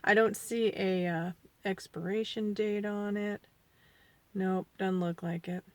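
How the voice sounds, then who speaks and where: Sniffly 40-something woman rambling thru a makeup review, mid pitch, dry close sound. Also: steady tone, slow pace, no room sound. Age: 40s. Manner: chatty, rambling, self-deprecating; quieter and mumbling while applying makeup. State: sniffly from allergies. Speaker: beauty vlogger.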